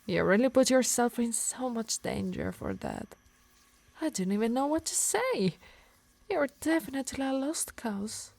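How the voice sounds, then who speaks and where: A woman's voice: a little high, very animated, a little slow, creaky, a little mellow, no echo, heavily acted. A woman playing a possessive, teasing harpy healer who scolds fondly in an ASMR roleplay. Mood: exasperated. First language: Italian.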